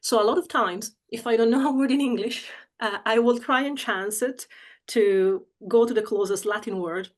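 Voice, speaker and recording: A woman's voice: fairly high pitch, normal speed. A woman from Italy with an Italian accent, an Italian native speaker, giving a reflective, hesitant talk to an audience about her writing, with many fillers.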